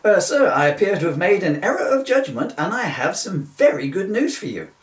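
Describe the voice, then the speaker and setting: A distant, echoey recording of a man performing a comedy police constable. He is officious and sarcastic, his pitch leaping about as his formal politeness wears thin. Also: very animated, brisk. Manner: officious politeness giving way to exasperation. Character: exasperated, sarcastic male police constable taking a report. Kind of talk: comic two-hander read from a book.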